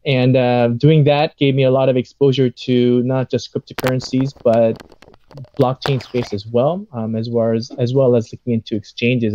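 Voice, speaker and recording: A man with an American accent speaking haltingly and conversationally as an interview guest. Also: mid-range voice, mellow, no echo. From United States. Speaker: interview guest, company executive. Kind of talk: conversational self-introduction. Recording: dry, dull lo-fi audio.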